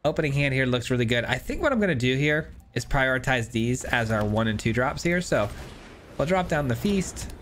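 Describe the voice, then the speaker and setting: A man's voice: medium pitch, expressive, fairly quick, fairly bright tone, slight background noise. Upbeat young man commentating a card game. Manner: upbeat, chatty, hedging restarts. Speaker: gameplay commentator. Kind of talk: casual gameplay commentary.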